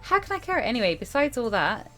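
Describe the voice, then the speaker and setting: Woman putting on a young female student, game character, higher pitch, flippant, bored, hesitant, whiny, music behind. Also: big pitch swings, fast, a bit bright. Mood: flustered, indifferent.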